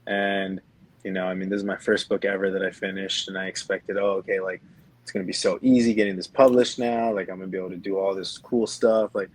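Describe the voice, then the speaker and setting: Low-voiced young man in his twenties. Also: level tone, quick pace, low-quality recording. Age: 20s. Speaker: podcast co-host. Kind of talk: casual personal storytelling.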